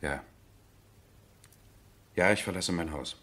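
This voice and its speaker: An actor in a 1970s German radio drama playing a stubborn, lovesick aristocratic husband with formal, deliberate phrasing. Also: male voice, low voice, pushed and bright, heavily acted. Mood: resolute, wounded. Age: middle-aged.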